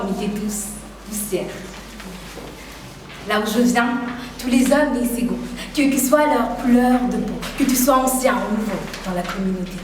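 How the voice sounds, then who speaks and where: A woman's voice: on the high side, background noise. A teenage girl, performing slam poetry with a rhythmic, emphatic delivery. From Burundi. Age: teens. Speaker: high school pupil performing slam. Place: large hall. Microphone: far from the microphone. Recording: echoey hall from far off, background ambience.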